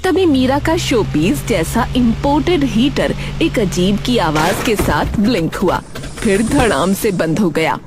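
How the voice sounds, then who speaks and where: A woman's voice: mid-range voice, animated, a little slow, music in the background. A woman reading scripted narration for a cartoon family story, as the narrator.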